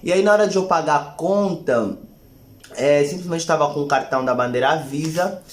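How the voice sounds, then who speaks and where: A man's voice: a little high, moderate pace. A man with a Brazilian Portuguese accent talking casually to viewers as a vlogger, in a frank, complaining, emphatic way. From Angola and Brazil. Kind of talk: casual personal storytelling to camera. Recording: echoey untreated room.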